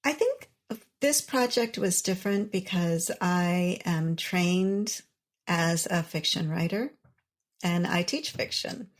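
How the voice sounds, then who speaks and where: A woman author whose first language is Urdu, giving thoughtful, measured answers as an interview guest. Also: lowish voice.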